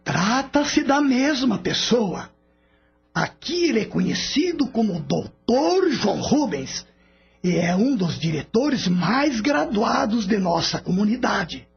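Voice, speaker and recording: A man's voice: extremely high pitch, creaky, breathy edge, heavily acted. An actor playing a serene older male spirit with a Brazilian accent, patiently explaining in a radio drama. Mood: earnest, explaining. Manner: didactic, measured explaining. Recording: dull lo-fi radio recording with music. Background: music.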